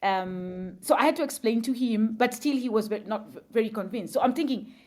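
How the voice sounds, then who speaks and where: A woman's voice: a little high, fairly quick, a little mellow. A woman, a guest on a podcast interview, explaining thoughtfully with examples and frequent fillers. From Kenya.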